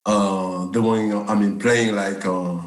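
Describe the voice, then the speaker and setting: A man's voice: lowish voice, level tone, slow. A man from Cameroon, a guest on a podcast, telling his story in a hesitant way with lots of fillers and laughter. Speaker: guest, jazz bass player. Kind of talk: casual interview chat. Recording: echoey room.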